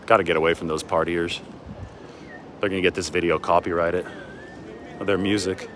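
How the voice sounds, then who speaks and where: A man's voice: low-pitched, steady tone, moderate pace. An American man in a casual livestream, chatting and rambling through asides as he moves about outdoors. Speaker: sports reporter hosting a livestream. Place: outdoors on city streets by a river at dawn. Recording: outdoor city ambience with traffic noise.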